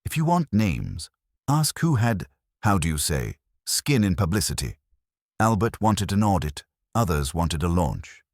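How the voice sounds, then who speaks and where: A man voicing a blunt, sharp-tongued woman baker in a mystery audiobook, with a curt, pointed manner. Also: low-pitched, big pitch swings, a little slow, dry recording, studio quality, pitched above the performer's own voice, heavily acted. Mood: cool, accusing.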